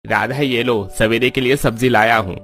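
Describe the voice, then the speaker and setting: A poor working village husband, acted by a man in a storybook drama. He is colloquial and emphatic, middling in pitch and quick to exasperation, with faint music behind. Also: steady tone, a bit bright, close to an everyday voice. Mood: matter-of-fact.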